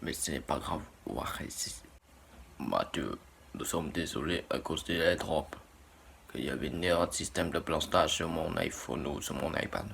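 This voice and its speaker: A man's voice: a bass voice, moderate pace, creaky, fairly bright tone, slight background noise. A man narrating his video hesitantly, with frequent fillers and broken sentences. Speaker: vlogger.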